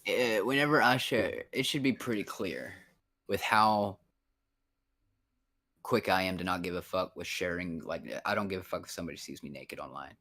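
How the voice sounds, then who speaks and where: A man's voice: deep voice, normal speed, bright, forceful tone. A man in his thirties in a casual voice chat, rambling and frank, swearing often. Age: 30s.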